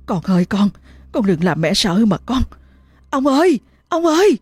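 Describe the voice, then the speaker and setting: A woman's voice: mid-range voice, big pitch swings, normal speed, darkish tone, slightly rough, dry recording, studio quality, pitched above the performer's own voice. A woman voicing a terrified mother, pleading and stammering in panic. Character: frantic mother, terrified and desperate.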